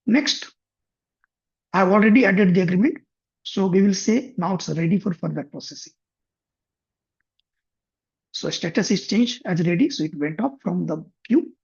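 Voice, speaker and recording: A man demonstrating finance software on a shared screen, thinking aloud with frequent restarts. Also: high-pitched, vocal fry, breathy edge. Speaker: software instructor. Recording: muffled lo-fi computer mic.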